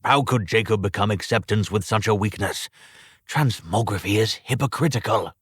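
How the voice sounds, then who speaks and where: A man's voice: fairly low pitch, normal speed, a bit bright, slightly rough, dry recording, studio quality. A man playing a bitter, bewildered old man in a storybook reading, with formal, old-fashioned speech. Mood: frustrated.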